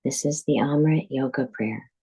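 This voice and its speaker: A woman guiding a yoga nidra meditation as a teacher, speaking calmly and deliberately in measured phrases with pauses. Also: extremely low pitch, husky. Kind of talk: guided meditation, scripted instruction.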